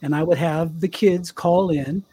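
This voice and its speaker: Older male guest, youthful slightly high voice, husky edge, lively storyteller. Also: measured pace. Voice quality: young-sounding voice. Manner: chatty, animated storyteller. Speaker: guest, former radio DJ. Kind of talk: casual storytelling interview.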